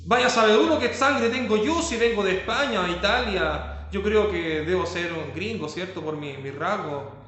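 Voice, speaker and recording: A man's voice: high voice, normal speed, hardly any pauses, a bit bright, heavy reverb. A man preaching a sermon as pastor, stressing points with rhetorical questions.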